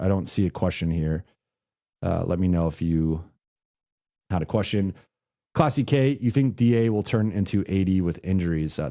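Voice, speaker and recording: A man with a low, mellow voice, stuffy and hoarse as he loses it. He talks in a loose, halting way, restarting his sentences as he reacts to a game live and answers viewer messages. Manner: halting, with frequent restarts and "like" fillers. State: congested and losing his voice. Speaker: basketball reporter hosting a livestream. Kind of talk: casual live reaction, reading super chats.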